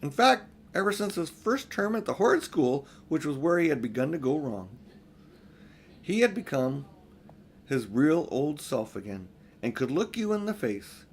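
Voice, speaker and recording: A man's voice: on the high side, very animated, normal speed. Older man reading a storybook, some background noise. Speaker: audiobook reader. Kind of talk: storybook read-aloud with informal asides.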